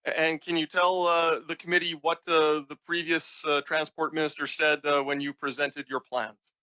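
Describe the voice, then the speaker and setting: A man's voice: on the high side, little pitch movement, normal speed, pushed and bright, no echo. A man putting formal questions to a witness as a committee member.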